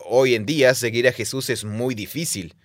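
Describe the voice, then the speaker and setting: A man co-hosting a podcast, explaining in a thoughtful, teacherly way at a middle pitch. He laughs easily. The sound is dry and close, with no room around him. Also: moderate pace, no echo. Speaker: podcast co-host, Bible teacher. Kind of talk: casual conversational podcast.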